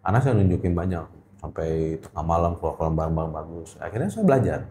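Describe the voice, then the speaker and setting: A man's voice: low-pitched, big pitch swings, fast, dark tone, slight background noise. A middle-aged man with a Jakarta accent, chatting casually as an interview guest, often repeating words for emphasis. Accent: Jakarta Indonesian. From Indonesia. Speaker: guest, gemstone trader. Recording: echoey room.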